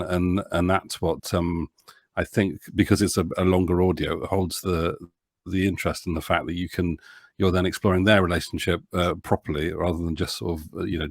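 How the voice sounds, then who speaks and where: A man chatting casually as an interview guest, talking in a halting, rambling way and often interrupting himself. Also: low voice, no room sound, studio quality. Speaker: audio drama producer, interview guest.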